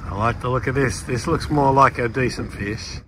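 A man's voice: mid-range voice, normal speed. A man hosting a sailing vlog, chatting casually and calling out encouragement to a fisherman on deck. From Australia. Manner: excitable, calls out encouragement. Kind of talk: casual onboard chat and coaching. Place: open sailboat deck at sea. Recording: wind and boat noise.

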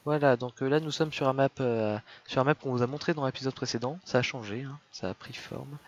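A man's voice: medium pitch, brisk, lo-fi sound. A tired man with a head cold hosting a gaming video, talking casually and hesitantly with many fillers. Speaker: host of a Minecraft server series.

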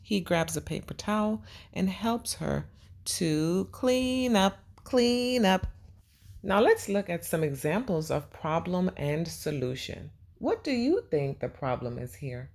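A woman teaching young children and reading a storybook aloud, speaking simply and deliberately and putting questions to her listeners. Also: deep voice, gravelly, darkish tone.

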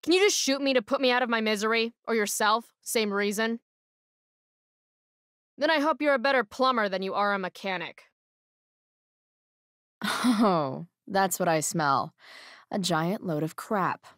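A woman's voice: medium pitch, measured pace, long pauses, a bit bright, dry recording, studio quality, natural voice. A young woman playing a rebellious, sarcastic teenage girl in a video game, with an American accent and a sardonic, defiant delivery. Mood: mocking defiance. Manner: sardonic, defiant, foul-mouthed.

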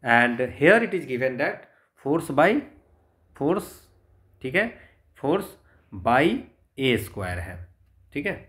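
Man, Indian accent, tutor, slow pace, step-by-step explanatory delivery, middle-to-low pitch. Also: fairly bright tone. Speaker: physics tutor. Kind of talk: step-by-step exam solution walkthrough.

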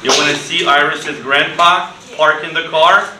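A middle-aged man teaches a children's class in a roomy, noisy classroom, heard from some distance. His voice is on the higher side, and he uses short, simple phrases and questions to involve the pupils. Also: steady tone. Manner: slow, deliberate, simple phrasing with repeated questions. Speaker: ESL teacher. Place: school classroom, indoors. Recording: roomy, distant classroom recording with clear noise.

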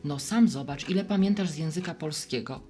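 An actress playing a friendly, wise, gently teasing middle-aged woman who runs a bar, in conversational radio play dialogue. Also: sits low, a little fast, faint music behind, lightly acted. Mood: gently reflective. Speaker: barkeeper.

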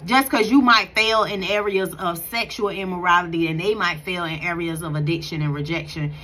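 Husky, bright-toned woman giving earnest faith talk. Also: lowish voice, fairly even intonation, brisk, low-quality recording. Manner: earnest, conversational, preachy with frequent restarts. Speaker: faith vlogger. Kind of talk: casual spoken devotional encouragement. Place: bright room at home, indoors. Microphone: phone camera at close range.

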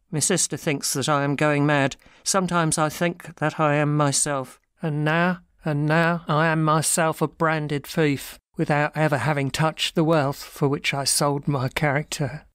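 A man's voice: a little high, flat delivery, a little slow, a bit bright, clear, resonant tone, no echo, studio quality. An actor playing an anguished working man confessing to a theft in a dramatic audiobook, telling his story with self-pity. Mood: despairing.

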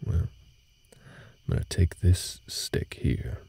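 A man playing a gruff, guarded cowboy ranch hand in an audio roleplay, talking in a slow, folksy, halting way with a husky, gravelly voice. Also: warm tone, whispered, close to an everyday voice. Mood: patient, matter-of-fact. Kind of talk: intimate scripted audio roleplay, slow speech to the listener.

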